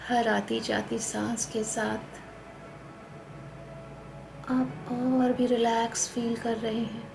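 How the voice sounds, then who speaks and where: Slow Indian woman guiding a meditation. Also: a little high, gravelly, over music, low-quality recording. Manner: calm, soothing, instructional. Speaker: meditation guide. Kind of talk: guided meditation in slow, spaced phrases. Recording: noticeable background noise.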